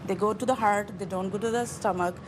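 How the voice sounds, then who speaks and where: A woman's voice: mid-range voice, fairly even intonation, fast talker. Eager woman explaining her desserts, noisy background. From Oman. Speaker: dessert maker, guest. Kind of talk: casual chat on morning TV.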